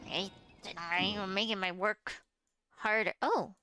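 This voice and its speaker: A woman's voice: mid-range voice, fairly even intonation, a little slow, pushed and bright, a little hiss or hum. A woman streaming a video game, chatting casually, playful and laughing, talking back to the characters. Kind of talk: casual let's-play commentary. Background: faint game music.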